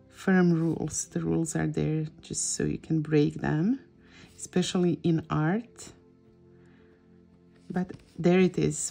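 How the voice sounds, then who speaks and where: A woman's voice: deep voice, gravelly, darkish tone. A middle-aged woman with a Croatian accent, a native Croatian speaker, giving a casual drawing tutorial and often searching for words. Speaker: drawing teacher and host.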